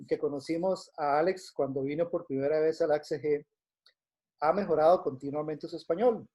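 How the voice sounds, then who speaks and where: A man hosting a webinar, reading a formal introduction of the guest in a measured way with occasional hesitations. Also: on the high side, mellow. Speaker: event host.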